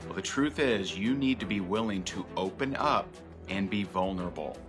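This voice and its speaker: A man's voice: deep voice, animated, normal speed. A man hosting a competition show, telling a personal story to the camera in an earnest, motivational way. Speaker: show host and business coach. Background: music bed.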